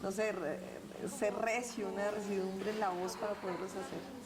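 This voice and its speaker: A woman's voice: medium pitch, steady tone, moderate pace, noisy background. A woman hosting a television interview in a city café, asking her questions at length.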